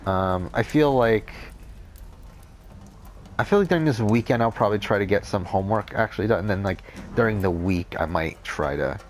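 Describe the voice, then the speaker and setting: Deep-voiced young man with a cold. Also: animated, moderate pace. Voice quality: deep, not clear. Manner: rambling, unscripted, says whatever comes to mind, swears often. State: has a cold. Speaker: Let's Play commentator. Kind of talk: casual gameplay commentary.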